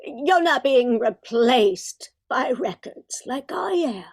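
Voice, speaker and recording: A woman acting a high-voiced, fretful Christmas carol spirit. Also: expressive, slow, heavily acted. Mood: bitter, nostalgic. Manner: genteel, lamenting. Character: wistful, fretful female Christmas carol personified, nostalgic and prim. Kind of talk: amateur old-time radio comedy acting.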